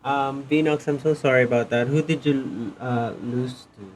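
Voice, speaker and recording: Guy livestreaming a game, casual chat, average pitch, faint noise behind. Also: normal speed, rough recording.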